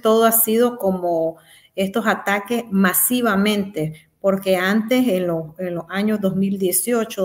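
Young woman lawyer talking on a video call from home, earnest, mid pitch, restarts a bit as she looks for words. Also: darkish tone. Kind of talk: remote interview. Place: small room at home.

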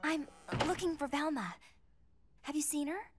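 An actress voicing a sweet, earnest young woman sleuth in a cartoon, breezy and expressive. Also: sits high, measured pace, no room sound, soft background music. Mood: hopeful, let down. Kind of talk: cartoon acting.

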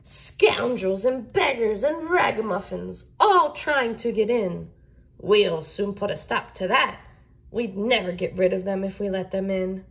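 A woman's voice: medium pitch, lively intonation, measured pace, poor audio. A woman voicing an indignant, bossy beetroot lady who scolds scornfully in a children's storybook. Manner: forceful, scolding.

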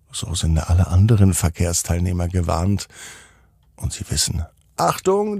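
A man hosting a children's podcast and narrating bedtime stories, speaking directly to young listeners in simple, unhurried phrases. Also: lowish voice, gravelly, warm tone, breathy edge, no echo, studio quality. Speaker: podcast host and bedtime storyteller.

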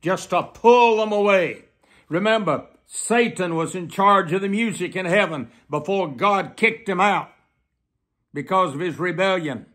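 An elderly man in his seventies preaching a solemn warning, deliberate, repeating phrases for emphasis. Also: high voice, fairly bright tone. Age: 70s. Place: small plain room indoors.

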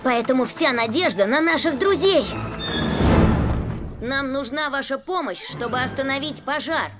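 A brave, decisive young boy played by a woman with a high voice, speaking as the leader in a kids' cartoon. Music plays behind the lines. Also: normal speed, natural voice. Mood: confident, earnest. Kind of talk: children's cartoon dubbing. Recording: background music under the voice.

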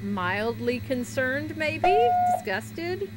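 A woman's voice: high-pitched, big pitch swings, very slow, very noisy. A woman streamer giving casual reaction commentary on a horror video.

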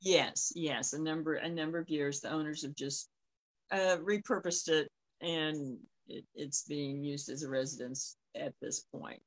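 A middle-aged woman telling stories casually on a video call, often pausing mid-thought. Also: sits low. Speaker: co-host. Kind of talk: casual conversational storytelling. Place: small room at home, on a video call. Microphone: device mic on a video call.

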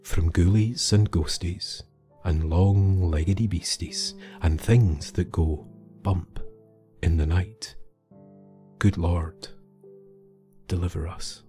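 A man narrating scripted folklore in a measured, theatrical way, with dramatic pauses. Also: low voice, fairly even intonation, warm tone. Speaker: storyteller and host. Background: music under the voice.

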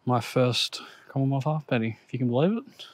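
A man in his thirties with an Australian accent, chatting casually to viewers while metal detecting outdoors, excitable and quick to laugh at his finds. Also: medium pitch, no echo. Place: outdoors on a grassy park lawn. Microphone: action camera on the body or set on the ground.

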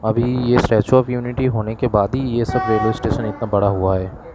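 A man's voice: on the low side, level tone, normal speed, music in the background, rough recording. A young man, chatting eagerly as he films a rainy train trip on his phone. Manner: chatty, eager, shows viewers each sight. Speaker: travel vlogger. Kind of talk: casual travel vlog narration. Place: railway platform and moving train, with rain. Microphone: phone held at arm's length. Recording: loud train and rain noise.

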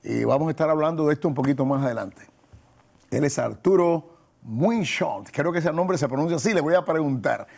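A man in his sixties hosting a conversational television interview in a studio, with a husky, gravelly voice. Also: a little high, animated, a little fast, darkish tone. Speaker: talk show host, pastor. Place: TV studio set.

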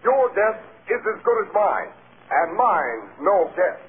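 A sly, wisecracking gossip reporter in an old radio play. His voice is high and brash on a tinny broadcast recording. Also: big pitch swings, a little slow. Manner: wisecracking, insinuating.

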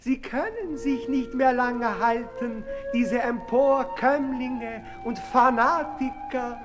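High, very drawn-out pompous suitor. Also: male voice. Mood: haughty, exalted. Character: florid lovesick aristocratic suitor, haughty and pleading. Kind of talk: 1960s German radio drama, musical declamation. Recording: reverberant old radio play with music.

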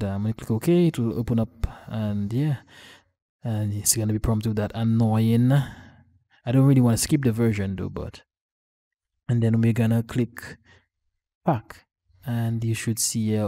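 A man hosting a casual software tutorial for a gaming channel, explaining step by step in an informal, halting, rambling way. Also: medium pitch.

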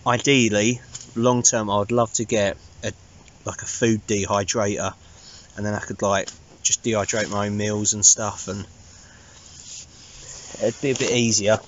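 A man in his thirties with an English accent, chatting casually to camera in a low-key, rambling, informal way as an outdoor vlogger. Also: highs cut off. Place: inside a small tarp shelter outdoors at night.

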